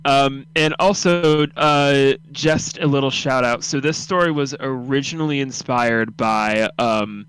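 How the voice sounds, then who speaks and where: Young adult man, clear smooth voice at an average pitch, casual stream host and story narrator. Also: a little slow, few pauses, a bit bright, poor audio.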